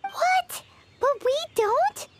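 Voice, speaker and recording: Squeaky, tiny childlike fairy companion. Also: female voice, expressive, very slow, heavy background noise, exaggerated voice. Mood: indignant. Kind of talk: video game voice acting.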